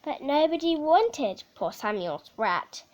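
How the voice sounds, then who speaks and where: A woman reading a children's picture book aloud in a theatrical, measured way, with dramatic emphasis. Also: high-pitched, very animated, a little mellow. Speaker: story reader.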